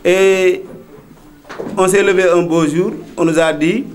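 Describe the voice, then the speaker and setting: A man with a Senegalese accent speaks slowly and deliberately as the spokesman for a local collective, in a fairly high voice. He pauses on hesitant fillers, then rises into firm slogans. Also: steady tone, a little hiss or hum. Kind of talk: press statement.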